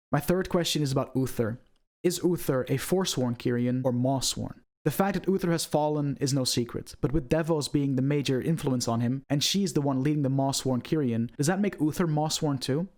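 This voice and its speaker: A man's voice: a little high, a little mellow. A man hosting an online video, giving scripted commentary on video game lore in a presenter's style.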